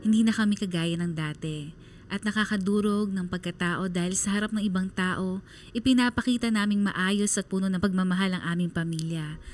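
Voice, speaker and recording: A woman's voice: medium pitch, fairly quick, faint music behind, lightly acted. An actress playing a sorrowful daughter who earnestly narrates her family's story in a radio drama. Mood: heartbroken.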